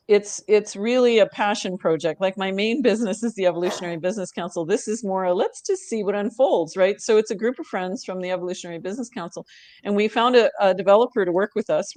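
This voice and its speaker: A woman's voice: mid-range voice, no echo. A woman, a podcast guest, talking in a casual, thoughtful way and laughing often. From Canada. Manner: warm, thoughtful, laughs often, frequent restarts. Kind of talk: casual interview chat.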